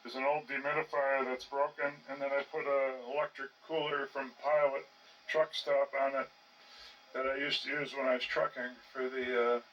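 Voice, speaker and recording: An older man giving casual, rambling commentary as he tinkers, halting and muttering to himself. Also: medium pitch, level tone, lo-fi sound. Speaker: hobbyist narrating his own projects. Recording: distant mic with faint background noise.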